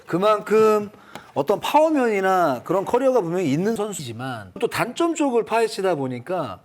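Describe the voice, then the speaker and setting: Lively high-pitched male baseball analyst. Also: moderate pace. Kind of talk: unscripted sports panel analysis.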